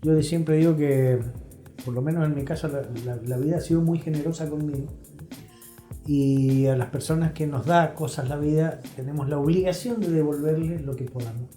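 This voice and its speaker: A man's voice: on the high side, normal speed, dark tone. An Argentine man speaking as an interview guest, telling and explaining things reflectively, with emphasis and short check-in questions. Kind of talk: reflective conversational storytelling and explanation. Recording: roomy echo, faint music.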